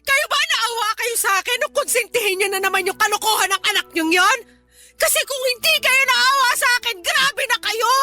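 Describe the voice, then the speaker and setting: A woman's voice: extremely high pitch, lively intonation, a little fast, pushed and bright, faint music behind, heavily acted. A woman playing a hurt, resentful grown daughter and breadwinner in a radio drama, emotional and reproachful, with a Filipino accent. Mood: indignant.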